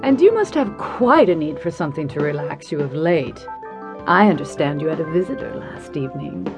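A nosy showbiz columnist in a radio drama, arch and full of insinuation. Her lowish voice moves expressively over faint music. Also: big pitch swings, moderate pace. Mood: sly, probing. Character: sly, prying female Hollywood gossip columnist. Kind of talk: audio drama acting.

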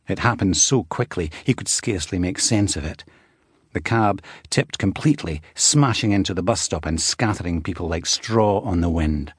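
A man narrates a thriller audiobook in a low, husky voice. His storytelling is measured and dramatic. The sound is dry, with no room on it. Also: no room sound.

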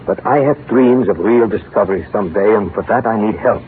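An actor playing an exacting older male science professor in 1930s radio drama, speaking in deliberate, didactic pronouncements on an old noisy recording. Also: on the low side, phone-quality sound, natural voice. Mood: confiding. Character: exacting older male bacteriology professor, blunt, devoted to research.

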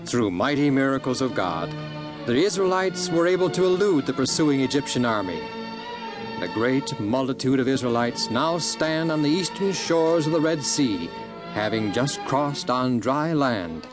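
A man's voice: mid-range voice, expressive, normal speed, music in the background. A man storyteller giving a dramatic scripted narration of a Bible story.

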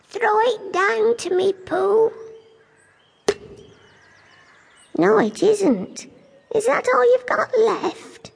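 A small, eager storybook pig, squeaking high with a heavily creaky edge. The little creature speaks slowly and earnestly, ordering its friend about. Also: female voice, expressive, exaggerated voice. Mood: bossy, questioning. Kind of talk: dramatised children's storybook acting.

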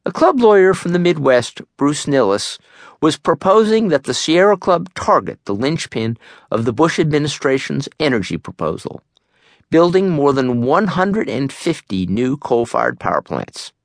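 A man reads a nonfiction memoir as an audiobook narrator, measured and articulate, in a studio. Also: on the high side, very animated, gravelly, no echo.

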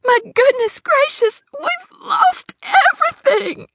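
Brief: a woman doing a frantic, cheated housewife in a storybook, high and trembling, voice leaping all over. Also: sing-song, moderate pace, noisy background. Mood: despairing. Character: distraught middle-aged woman cheated of her savings.

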